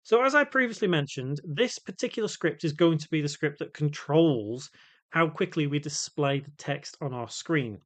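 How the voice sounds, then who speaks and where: A man hosting a step-by-step software tutorial, explaining each piece in turn. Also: on the high side, animated, normal speed. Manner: explanatory, spells out code piece by piece. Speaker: tutorial host.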